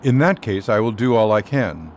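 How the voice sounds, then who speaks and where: A man's voice: fairly low pitch, lively intonation, normal speed, slight background noise, lightly acted. A man playing a skeptical dry solicitor. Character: shrewd skeptical male London solicitor, dry. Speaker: lawyer. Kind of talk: radio drama acting.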